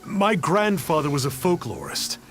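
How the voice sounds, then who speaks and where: An actor playing an earnest, fawning young swindler in a video game, speaking reverently and effusively in formal phrases. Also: male voice, a little high, huge pitch swings, normal speed. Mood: confessing. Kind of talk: video game character dialogue.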